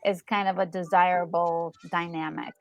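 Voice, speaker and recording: A woman's voice: fairly low pitch, little pitch movement, normal speed, soft background music. A woman chatting casually as a co-host, thinking aloud and rephrasing as she goes. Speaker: co-host commenting on a game. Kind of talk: casual chat.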